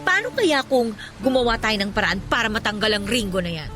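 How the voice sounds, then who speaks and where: Bright, high-voiced scheming office confidante. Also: female voice, very animated, brisk, faint music behind. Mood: conspiratorial. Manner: chatty, seeking agreement with tag questions. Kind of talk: Filipino radio drama dialogue.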